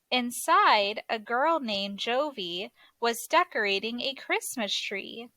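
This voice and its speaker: A woman narrating a children's story aloud in an expressive, deliberate way, like a teacher reading to young listeners. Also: fairly high pitch, no room sound.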